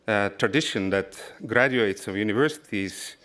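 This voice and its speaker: A man in his forties from Estonia giving a formal lecture, speaking in a measured way with some halting restarts. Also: on the low side, fairly bright tone. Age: 40s. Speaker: guest lecturer.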